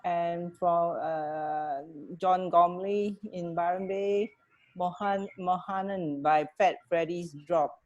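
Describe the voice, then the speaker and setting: Slightly low-pitched woman chatting casually. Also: fairly even intonation, slow pace, darkish tone, dull audio. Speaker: radio show co-host. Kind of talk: casual chat. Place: indoor room, video call.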